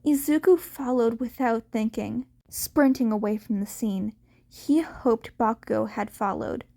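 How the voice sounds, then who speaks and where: A woman reading scripted story narration as an audiobook narrator, slightly halting in her delivery. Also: fairly high pitch, gravelly, warm tone.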